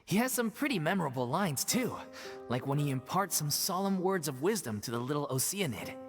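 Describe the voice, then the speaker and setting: An actor voicing a big, easygoing, food-loving male actor from a theatre troupe in a video game, jovial and relaxed. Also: a little high, brisk, faint music behind.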